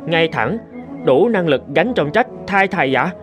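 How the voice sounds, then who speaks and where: A high-voiced, earnest young monk. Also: male voice, lively intonation, a little slow. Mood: respectful. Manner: deferential, earnest. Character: respectful young male monk, earnest disciple. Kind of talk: cartoon folk-tale dubbing. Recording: music under the voice.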